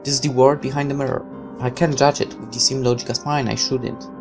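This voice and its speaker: A man with a mid-pitched voice reads a story game aloud as a let's player. He narrates expressively and slips into casual asides of his own. Music plays behind his voice. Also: steady tone, a little fast, vocal fry. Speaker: gaming let's player reading a visual novel aloud.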